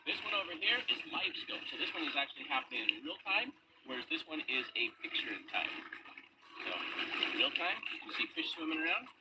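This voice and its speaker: A man's voice: on the high side, sing-song, fairly quick. A man hosting a search show, talking casually and explaining things in a laid-back way outdoors by a lake. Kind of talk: casual on-location narration. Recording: loud background noise outdoors.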